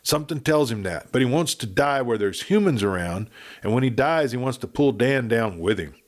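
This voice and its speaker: A man's voice: mid-range voice, expressive, normal speed, close to an everyday voice. Man playing a fretful old rancher. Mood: grave. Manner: folksy frontier speech. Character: worried old rancher father, fretful and tender.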